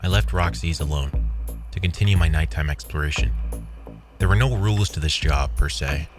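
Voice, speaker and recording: A man's voice: very deep voice, normal speed, over music. A man reading scripted first-person horror fiction as the narrator.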